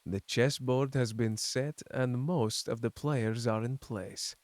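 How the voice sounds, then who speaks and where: A man acting a calculating, sinister spymaster villain in an audio drama, formal and measured in manner. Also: medium pitch, fairly even intonation, a little mellow, no room sound, natural voice. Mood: coolly scheming.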